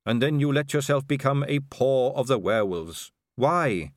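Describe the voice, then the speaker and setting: A man voicing a dwarf king who speaks in a low, comfortable, sing-song way with quiet menace. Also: moderate pace, no echo, studio quality, close to an everyday voice. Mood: calm menace.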